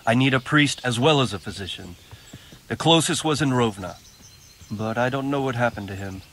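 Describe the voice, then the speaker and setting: A man playing a gruff, weary, wounded lord in a medieval video game. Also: mid-range voice, normal speed, fairly bright tone, a little hiss or hum, close to an everyday voice. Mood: weary, pained. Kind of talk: video game dialogue acting.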